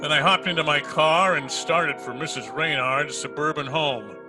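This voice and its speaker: A man's voice: a little high, moderate pace, music in the background, lightly acted. A man playing a wisecracking, unflappable hardboiled private detective in an old-time radio drama style, wry and tough-talking. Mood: narrating. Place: home recording over video call.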